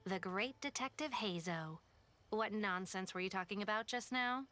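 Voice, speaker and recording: A woman's voice: mid-range voice, moderate pace, sharp tone, slight background noise, lightly acted. An actress playing a proud, sarcastic and combative young female detective in a video game, sardonic and demanding.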